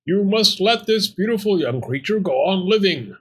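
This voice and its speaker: A man's voice: on the high side, lively intonation, moderate pace, pushed and bright, slightly rough, pitched above the performer's own voice, heavily acted. A man voicing a small, feisty talking bone in a storybook, loyal and given to theatrical, florid ranting. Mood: outraged, defiant.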